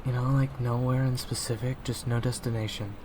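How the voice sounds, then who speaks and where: A man's voice: medium pitch, little pitch movement, a little fast, noisy background. A young man performing an audio roleplay in a casual, wry manner.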